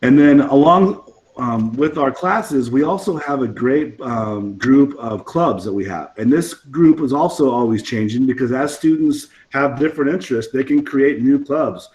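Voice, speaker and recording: A man, an assistant principal who is losing his voice and sounds hoarse, presenting a list of school programs with frequent "um"s. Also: medium pitch, normal speed, darkish tone, rough recording. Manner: listing school programs with many ums.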